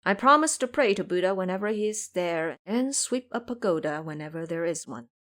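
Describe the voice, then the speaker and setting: A woman's voice: fairly low pitch, lively intonation, fairly quick, fairly bright tone. A woman voicing a pious, naive elder monk in an audio drama, musing aloud earnestly. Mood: lamenting.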